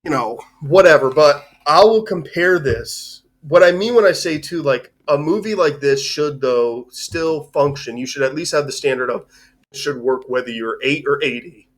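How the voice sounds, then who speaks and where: A man's voice: on the high side, normal speed. A man hosting a livestream, discussing films in a casual, rambling, informal way. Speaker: host of a livestream discussion show.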